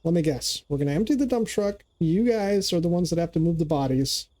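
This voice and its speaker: A man's voice: on the high side, expressive, a little fast, mellow. An older man hosting a movie reaction, cracking profane jokes and talking back at the screen. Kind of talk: casual movie reaction commentary.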